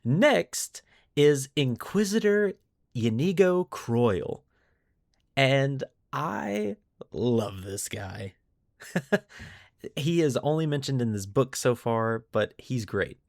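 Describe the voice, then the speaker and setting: A man's voice: mid-range voice, very animated, slow pace, gravelly, dry recording. Casual man explaining lore, dry close recording. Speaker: podcast host.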